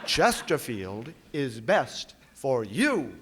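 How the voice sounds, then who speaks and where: An actor playing an emphatic, showy sponsor's announcer in old-time radio style. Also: male voice, medium pitch, big pitch swings, drawn-out words, background noise. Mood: salesmanlike. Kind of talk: 1950s radio commercial announcing.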